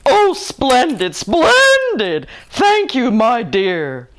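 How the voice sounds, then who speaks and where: A woman reader puts on a merry old uncle from a children's storybook. The voice is pitched high and leaps about excitedly. He chuckles and gushes, full of giddy good cheer. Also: drawn-out words, heavily acted. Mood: gushing, welcoming. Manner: chuckling, gushing, exclamatory. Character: jolly, giggly old uncle floating with laughter.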